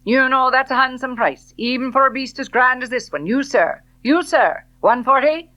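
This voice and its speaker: Woman doing a high, chanting horse dealer. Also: moderate pace, sharp tone, no echo, muffled recording. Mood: insistent, chanting. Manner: cadenced, chanting. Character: horse dealer chanting prices, hypnotic salesman. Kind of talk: audiobook character dialogue.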